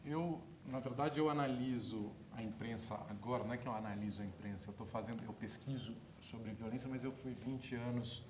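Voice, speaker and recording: A Brazilian man speaking as a researcher in a panel discussion, halting and correcting himself. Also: mid-range voice. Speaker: guest researcher, former journalist. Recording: roomy echo, noisy ambience, distant timbre.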